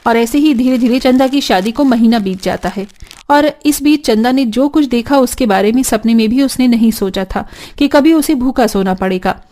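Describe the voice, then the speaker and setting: A woman's voice: fairly high pitch, moderate pace, warm tone. A woman narrating a scripted cartoon story as its storyteller.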